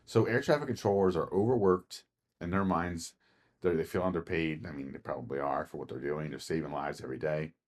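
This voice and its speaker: Deep-voiced, plain-spoken American man. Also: fairly quick, darkish tone. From Philadelphia, United States. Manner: plain-spoken, explanatory, assertive. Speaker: podcast co-host. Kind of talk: casual analytical discussion.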